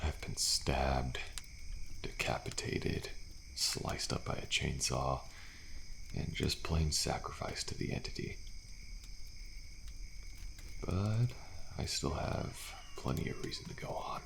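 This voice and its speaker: A very low, crackling male voice speaks slowly and softly, a man acting a weary survivor who stays friendly and quietly hopeful. It is an intimate ASMR roleplay delivery, hushed and reassuring, with faint night insects behind. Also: lively intonation, full character voice. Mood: calm, resigned. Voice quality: deep, gravelly. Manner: soft-spoken, hushed, unhurried, reassuring.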